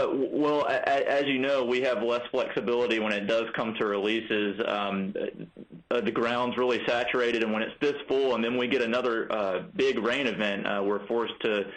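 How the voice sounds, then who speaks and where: An American man answering as an agency spokesman in a live phone news interview, measured and halting, with repeated false starts. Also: mid-range voice, fairly even intonation, a bit bright, poor audio.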